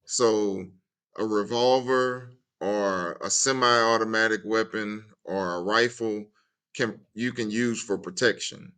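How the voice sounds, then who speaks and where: A man in his fifties, a podcast guest, giving measured and deliberate opinions. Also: fairly low pitch, steady tone, a bit bright, clear, resonant tone. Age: 50s. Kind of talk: interview answers.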